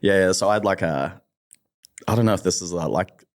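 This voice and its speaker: A man's voice: sits low, moderate pace. A man in his thirties with a New Zealand accent, a podcast guest chatting casually, laid-back and swearing freely. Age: 30s.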